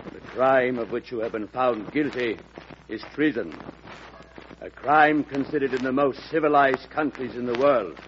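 A man performs an unyielding man of the law in a vintage radio play. He speaks with solemn, declamatory authority at a medium pitch, on a dull recording with faint noise. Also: natural voice. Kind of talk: old-time radio drama acting.

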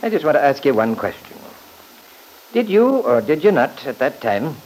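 A man playing an assertive, formal lawyer in an old radio drama, speaking with deliberate emphasis. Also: medium pitch, big pitch swings, darkish tone. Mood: formal, pressing. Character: assertive, formal male lawyer defending his client. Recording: noisy old radio recording.

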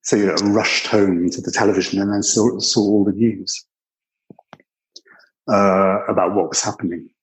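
An older British man speaks in a fairly low voice, telling personal stories as an interview guest. His delivery is conversational and anecdotal, and he quotes people as he goes. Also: steady tone, moderate pace, rough recording. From Britain. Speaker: interview guest recalling his years living abroad.

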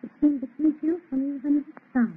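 An actress playing a sweet, devoted young fiancée, earnest and gushing, in an old radio melodrama. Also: female voice, high-pitched, steady tone, a little fast, a little hiss or hum, lightly acted. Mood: adoring, happy. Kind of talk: 1930s radio melodrama acting. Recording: thin, tinny old radio recording.

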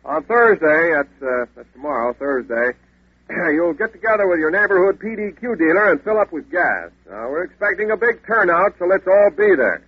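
An actor playing a folksy club speaker making halting, hemming announcements in an old radio ad. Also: male voice, high voice, lively intonation. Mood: earnest, fumbling. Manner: halting, hemming, throat-clearing. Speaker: commercial spokesman. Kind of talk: 1940s radio ad as meeting announcements. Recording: tinny, echoey old radio recording.

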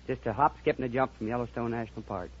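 A folksy American man with a medium-pitched voice, appearing as a contestant on a radio quiz. He talks plainly with hesitant pauses, on a dull old recording. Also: fairly even intonation, breathy edge. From Montana, United States. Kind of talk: conversational answers and anecdote on a radio quiz.

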